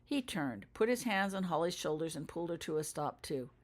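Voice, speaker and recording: A woman narrates her own story in audiobook style. Her voice sits a little low for a woman, and her intonation moves up and down freely. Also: expressive, brisk. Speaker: author reading own story. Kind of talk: audiobook narration of children's fan fiction.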